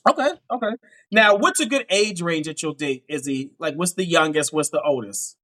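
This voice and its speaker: A high, bright male voice with a Pittsburgh accent. He hosts a dating show and fires off quick, upbeat questions, backing them with steady affirmations. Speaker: dating coach host. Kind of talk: live dating show interview.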